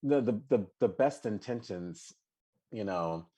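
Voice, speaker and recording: Man, dark husky voice, animated, stop-start, podcast co-host. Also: measured pace. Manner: animated, many restarts, bursts of high laughing exclamations. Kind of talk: casual live chat.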